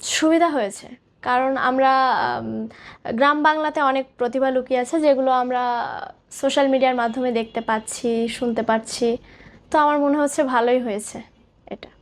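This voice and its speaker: A woman's voice: high-pitched, steady tone, normal speed. A woman, a singer-songwriter earnestly explaining herself in interview answers. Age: 20s.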